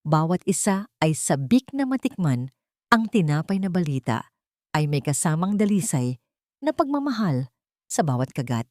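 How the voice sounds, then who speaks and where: A woman narrating a dramatic romance story in an earnest, sentimental, measured way. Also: deep voice, big pitch swings, a little mellow, dry recording, clean studio sound. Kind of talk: dramatic scripted narration of a Tagalog love story.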